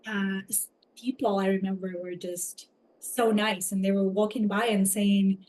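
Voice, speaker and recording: A woman from Ukraine with a Ukrainian accent, telling her personal story as an interview guest, reflective and halting as she picks her words. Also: mid-range voice, little pitch movement, darkish tone, rough recording. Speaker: podcast guest, somatic coach.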